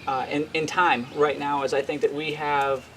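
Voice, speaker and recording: Halting man hosting an outdoor interview. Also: on the high side, little pitch movement, fairly bright tone, a little hiss or hum. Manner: halting, many fillers and repeated words. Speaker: interviewer, host. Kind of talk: casual interview questions.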